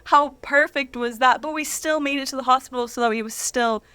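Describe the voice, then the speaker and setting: A woman in her thirties telling personal stories casually as an interview guest, in very informal, animated speech. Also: high voice, fairly quick, clean tone, no room sound. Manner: informal, animated anecdotes peppered with "like".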